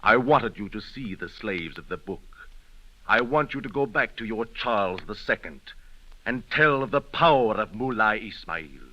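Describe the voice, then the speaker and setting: A man's voice: on the low side, expressive, normal speed, highs cut off. A man acting an imperious, menacing Moroccan sultan in a 1930s radio drama, speaking in formal, commanding declamation. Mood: coolly commanding.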